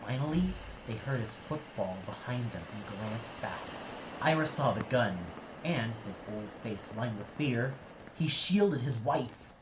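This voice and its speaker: A man's voice: mid-range voice, animated, measured pace, background noise. A man giving dramatic scripted narration as the reader of an audio play.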